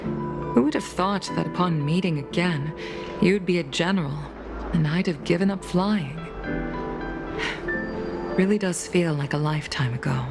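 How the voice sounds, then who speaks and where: A woman playing a composed, mature official and former pilot in a video game, speaking in a measured, formal and courteous way. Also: fairly low pitch. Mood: courteous, nostalgic. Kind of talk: scripted video game voice acting. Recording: music behind the voice.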